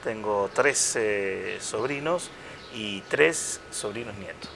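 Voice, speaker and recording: A man in his fifties, a parish priest, speaks reflectively in a bright, forward voice, often pausing and restarting his sentences. He is recorded on a lapel mic at an outdoor restaurant patio. A faint hiss of outdoor ambience sits under his voice. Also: on the low side, creaky. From Chile. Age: 50s. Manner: unhurried, reflective, with many hesitations and restarts. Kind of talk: casual interview conversation.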